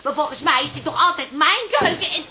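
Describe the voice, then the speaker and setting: An actress in a Dutch radio play playing a meddling, sharp-tongued, sarcastic café woman who is easily exasperated. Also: high voice, fast, a little hiss or hum. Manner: sarcastic, bossy, excitable.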